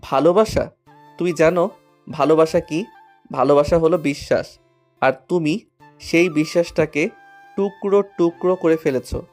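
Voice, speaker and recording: A woman's voice: low-pitched, normal speed, long pauses, darkish tone, faint music behind, close to an everyday voice. A woman voicing a bitter, heartbroken young man in an audio love story, grave and wounded in manner. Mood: cold, bitter.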